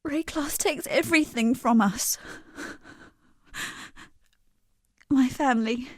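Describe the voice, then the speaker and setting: High-voiced, grieving exile woman. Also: no room sound, clean studio sound. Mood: stricken, grieving. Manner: earnest, subdued, halting. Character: grieving young woman exile, earnest and guarded. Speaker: game non-player character. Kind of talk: dramatic video game voice acting.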